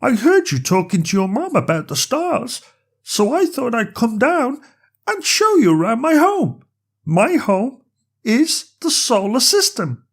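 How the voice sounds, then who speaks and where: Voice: medium pitch, sing-song, a little slow, voice pushed up. A storyteller voicing a small, chubby, friendly alien creature who eagerly explains things as a planetary guide in a children's bedtime story. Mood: friendly, welcoming.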